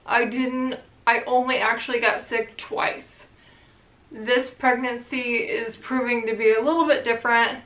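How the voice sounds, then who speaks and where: A young woman vlogging in a relaxed, rambling way, her voice bright and pitched a little high. She speaks slowly, and the room around her gives a noticeable echo. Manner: chatty, relaxed, rambling with fillers. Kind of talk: casual chat to camera.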